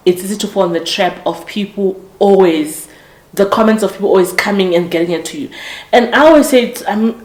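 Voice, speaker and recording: A woman's voice: lowish voice, darkish tone. Earnest woman in her twenties, echoey room. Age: 20s. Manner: earnest, reflective, with frequent restarts and self-corrections. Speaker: podcast host. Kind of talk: casual motivational monologue.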